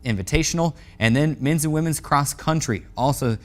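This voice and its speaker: A man's voice: fairly high pitch, normal speed. An American man in his twenties hosting a sports show, presenting in a sportscast style. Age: 20s. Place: indoor TV studio sets. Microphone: lapel mic.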